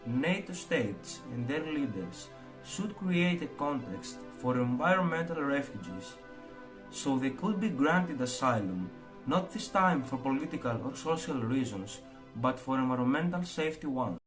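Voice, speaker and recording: A man's voice: mid-range voice, lively intonation, measured pace, rough recording. A man, reading a prepared statement formally. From Greece. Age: 20s. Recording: clear music bed underneath.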